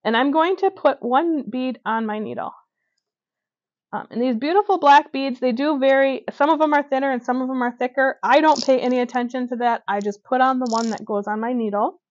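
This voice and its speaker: Woman, fairly high voice, stitching lesson, dull dry recording. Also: fairly quick, no echo. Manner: talks while working, with fillers and small self-corrections. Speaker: stitching instructor. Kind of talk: hands-on craft demonstration. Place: indoors at a table.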